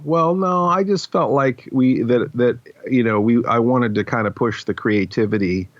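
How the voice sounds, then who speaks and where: A man's voice: medium pitch, normal speed, no echo. A man hosting a podcast, chatting casually about a script with wry, self-deprecating asides. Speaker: podcast host. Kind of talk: casual behind-the-scenes script discussion. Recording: slightly dull, dry close recording.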